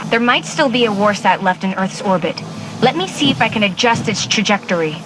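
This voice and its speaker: A woman's voice: mid-range voice, animated, a little fast, heavy background noise, natural voice. An actress playing a headstrong, earnest female guardian in a video game cutscene, speaking in an assured, conversational way. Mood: determined.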